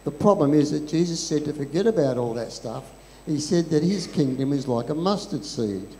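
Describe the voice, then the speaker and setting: A man's voice: a little high, expressive, moderate pace, mellow. An older man co-presenting a children's talk in church, making dry joking asides. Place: large church hall. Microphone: handheld microphone. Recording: long hall reverb, faint noise.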